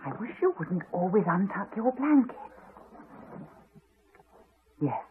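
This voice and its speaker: A woman's voice: medium pitch, big pitch swings, slow pace, slight background noise. An actress playing a guarded, troubled mother in a 1980s radio drama, terse and hesitant. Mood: subdued. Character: guarded, troubled mother haunted by childhood guilt. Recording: muffled, narrow-band 1980s radio broadcast.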